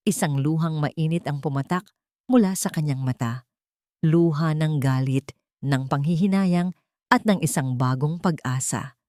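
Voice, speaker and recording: A woman's voice: low-pitched, a little mellow, dry recording, studio quality. A woman with a Filipino accent reading a dramatic scripted story as an audiobook narrator.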